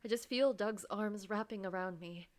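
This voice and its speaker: A woman voicing an anxious, guilt-ridden office worker who narrates a story game in a dramatic, wounded, halting way. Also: mid-range voice, lightly acted. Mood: tearful confusion.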